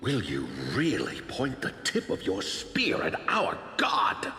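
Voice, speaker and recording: Fantasy game zealot: slow, gravelly, wildly inflected pleader with big echo. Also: male voice, mid-range voice, wildly swooping pitch, pushed and bright, heavily acted. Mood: stern warning. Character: alarmed, pious sun-worshipping tribesman pleading and warning. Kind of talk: theatrical fantasy game voice acting. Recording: echoing hall reverb under music.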